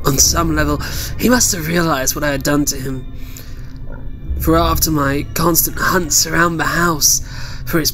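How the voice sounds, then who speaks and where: An actor playing a mad invisible scientist in a horror audio drama, gloating, taunting and grandiose. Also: male voice, a little high, fairly bright tone. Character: mad invisible male scientist turned gloating, menacing predator. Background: music.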